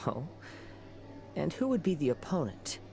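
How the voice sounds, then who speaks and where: A man's voice: a little high, sing-song, measured pace, vocal fry, over music, heavily acted. A man playing a courteous young wandering samurai in a video game, with a composed, polite manner. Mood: calm.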